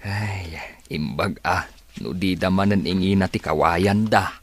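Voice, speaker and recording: Radio drama role: actor as poor farmhand husband, very low voice, resigned grumbler. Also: male voice, measured pace. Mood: hesitant. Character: downtrodden underpaid farm labourer, a resigned husband.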